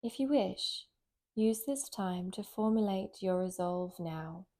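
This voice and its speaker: A woman guides a relaxation practice at a very slow pace. Her voice is warm and mellow, with a medium pitch. She speaks gently and soothingly, leaving long, unhurried pauses between phrases. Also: lively intonation. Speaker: meditation guide, yoga nidra teacher.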